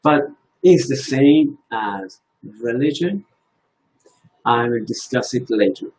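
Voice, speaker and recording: Slow, halting man. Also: medium pitch, expressive, darkish tone, low-quality recording. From Iran. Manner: slow, halting, thinking aloud. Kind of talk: reflective religious talk.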